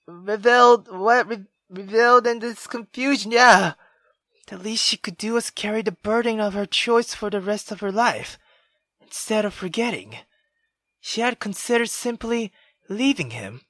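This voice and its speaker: Female let's player reading a visual novel: unhurried, dry close sound, expressive and excitable. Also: on the high side, no echo. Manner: expressive, excitable, stumbles over the text. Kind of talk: reading a visual novel aloud with live reactions.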